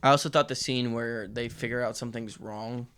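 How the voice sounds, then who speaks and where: A man's voice: mid-range voice, steady tone, fairly quick, fairly bright tone, a little hiss or hum. A man co-hosting a podcast in casual, opinionated chat, blunt and joking with lots of swearing. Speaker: podcast co-host reviewing a TV series.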